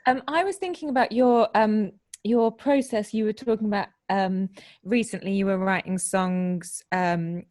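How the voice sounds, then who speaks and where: A woman's voice: medium pitch, a little slow, a little mellow, no echo, studio quality. A woman chatting casually as a guest in a group interview, agreeable and tentative, with plenty of "like" and "kind of". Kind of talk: casual chat.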